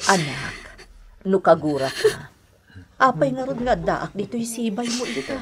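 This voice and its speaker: A woman's voice: mid-range voice, sing-song, unhurried, music in the background. An actress with an Ilocano accent playing a caring, pleading middle-aged mother and new grandmother in a radio drama. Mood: pleading, concerned. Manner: earnest, coaxing.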